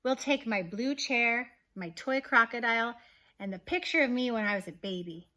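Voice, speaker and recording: A woman voicing a sulky little boy in a storybook, complaining in a drawn-out, emphatic way. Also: on the high side, lively intonation, sharp tone. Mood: determined. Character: sulky little boy upset over his things.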